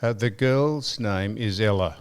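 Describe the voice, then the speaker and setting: An older Australian man hosting a podcast, chatting in a rambling, storytelling way and giving listeners shout-outs. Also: fairly low pitch, measured pace, smooth. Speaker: podcast host. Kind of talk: casual chat.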